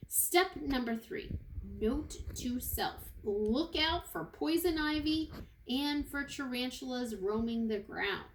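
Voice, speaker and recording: A woman with a fairly high voice reads a storybook to young children. Her phrasing is expressive and very slow, as a kindergarten teacher would read. The room is echoey, with faint noise in the recording. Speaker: kindergarten teacher reading aloud.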